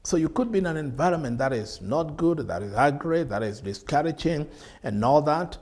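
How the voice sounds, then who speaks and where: A middle-aged Kenyan man, a bishop, teaching a televised sermon from a studio desk with earnest rhetorical questions and drawn-out emphasis. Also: a little high, expressive, a little mellow.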